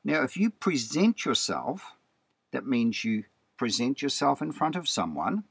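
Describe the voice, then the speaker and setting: A man talks through slides like a lecture, repeating words and checking in with "okay" as he explains. His pitch moves a lot from phrase to phrase. The sound is dry and close, with no room around it. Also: sing-song, measured pace, vocal fry, breathy edge, no room sound. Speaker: presenter.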